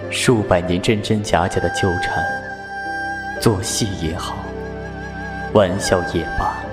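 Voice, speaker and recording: Casting: male actor as stern elder-brother fox king, low, deliberate, aloof and world-weary, music behind. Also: steady tone. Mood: sorrowful, resigned. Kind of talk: Chinese fan audio drama acting.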